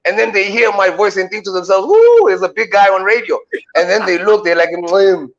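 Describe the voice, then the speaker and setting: Man, South African accent, deep voice, playful comic radio banter, dull lo-fi sound. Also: expressive. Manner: joking, playful, drawn-out punchlines. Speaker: radio comedy co-host.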